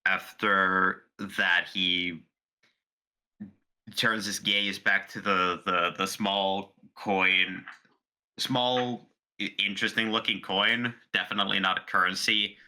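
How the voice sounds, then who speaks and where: A man playing a tabletop roleplaying game, speaking in character with hesitant pauses and many fillers. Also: low-pitched, fairly even intonation, bright, forceful tone, rough recording. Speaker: player.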